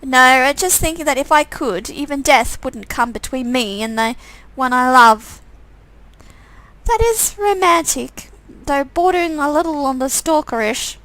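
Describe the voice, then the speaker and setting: Curious, talkative young female visual novel character, played by a woman, high voice, dry sound, theatrical conversational delivery. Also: expressive, a little slow, a bit bright, no room sound, poor audio. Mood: playful.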